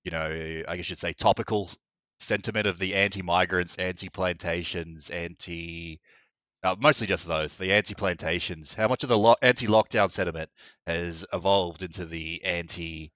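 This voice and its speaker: A man from New Zealand co-hosting a casual podcast chat, often restarting and rising in pitch when he gets worked up. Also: moderate pace, a bit bright, no room sound. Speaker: podcast co-host.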